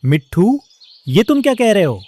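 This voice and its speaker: Humble young cartoon sparrow, earnest, lively intonation, slightly high register male actor. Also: moderate pace, slightly rough, no room sound, full character voice. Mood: hurt, reproachful. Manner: earnest, emotional. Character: honest, simple, hardworking young male sparrow. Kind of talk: Hindi cartoon story dialogue.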